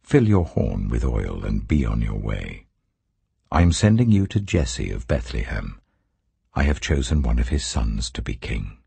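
An older man voicing the Lord, a calm, commanding divine voice giving orders. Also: very deep voice, measured pace, creaky, slightly rough, no room sound, pitched below the performer's own voice, full character voice.

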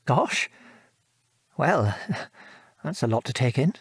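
An actor playing a gentle, reflective British father and war veteran in an audio drama, speaking in a measured, thoughtful way. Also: mid-range voice, sing-song, slightly rough, no echo, studio quality, full character voice. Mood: moved, reflective.

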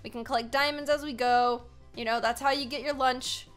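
A young American woman with a high, bright voice hosts a gaming video. She talks casually and excitably in an animated, playfully dramatic way. She is close to the mic in a home room, with music underneath. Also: a little fast. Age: 20s. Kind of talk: excitable gameplay commentary with casual chat to viewers. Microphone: desk microphone, close.

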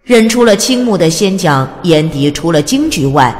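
A woman's voice: lowish voice, animated, moderate pace, a little mellow. A woman reading a fantasy audiobook as narrator, in a dramatic scripted storytelling style, with reverb on her voice.

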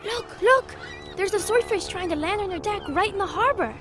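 A woman's voice: very high voice, expressive, moderate pace, over music. A woman playing an eager, curious schoolboy with an English accent in a radio drama. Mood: excited. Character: eager, curious British schoolboy named Nigel.

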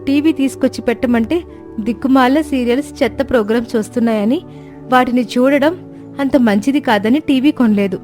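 A woman plays a cartoon sparrow wife with a fairly high voice. She is outspoken and long-suffering, pouring out her grievances in plaintive, emotional speeches. Music plays underneath her. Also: fairly quick, a little mellow, natural voice. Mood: plaintive, aggrieved. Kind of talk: dramatized moral story cartoon dialogue. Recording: music bed behind voice.

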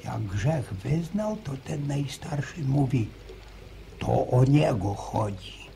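An actor playing an old village grandfather who tells a slow, pious story in halting rustic speech, in a radio drama. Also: medium pitch, animated, vocal fry, dark tone, slight background noise, exaggerated voice. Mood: hushed, ominous. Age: older. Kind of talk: 1960s radio drama acting.

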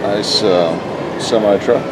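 A man's voice: on the low side, slow. Chatty man filming at a noisy toy show. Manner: relaxed, chatty, lots of fillers and compliments. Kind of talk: casual walk-around interview. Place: indoor farm toy show hall. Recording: loud background noise in a show hall.

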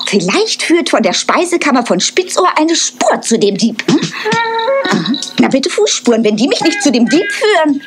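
Boy squirrel, high voice, naive, pleading, cartoon dub, loud music behind. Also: sing-song, measured pace. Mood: eager. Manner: pleading, indignant. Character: young naive male squirrel, earnest and upset. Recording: music playing loudly behind voice.

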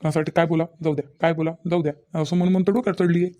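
Man acting grumbling village husband, middle-aged, soft dark tone, fast talk, sceptical, repetitive, Vidarbha Marathi accent, cartoon comedy. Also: a little high, flat delivery, natural voice. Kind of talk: cartoon family comedy dialogue.

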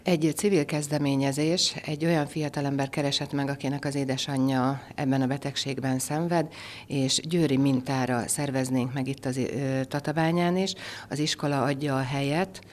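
A middle-aged woman giving a formal, measured interview indoors into a handheld microphone, pausing for words. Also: rumbling low, fairly even intonation, darkish tone. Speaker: school head, interviewee. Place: indoors in a furnished room.

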